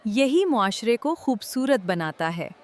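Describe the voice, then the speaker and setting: A woman voicing a kind, caring female vegetable in a children's cartoon, with a gentle, reassuring manner. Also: on the high side, very animated. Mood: kind, earnest. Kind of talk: children's cartoon moral story dialogue.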